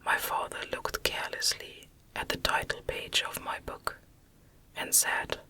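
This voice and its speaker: Woman whispering softly, breathy and sibilant-bright. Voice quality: soft, breathy, unvoiced whisper. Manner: hushed, measured literary reading. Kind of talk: whispered ASMR audiobook narration of a classic novel.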